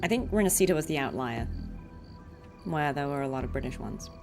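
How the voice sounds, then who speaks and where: A woman's voice: rumbling low, expressive, brisk, creaky, music in the background. A woman streamer chatting casually and appreciatively, rambling from thought to thought. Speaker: streamer and voice actress. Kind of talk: casual livestream chat.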